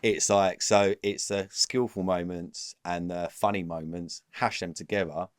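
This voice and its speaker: A low-voiced man talks business on a podcast, animated and rambling, often restarting his sentences. The sound is close and dry, with no room around it. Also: unhurried, clear, resonant tone, dry recording. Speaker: podcast guest, company executive. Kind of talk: casual business interview.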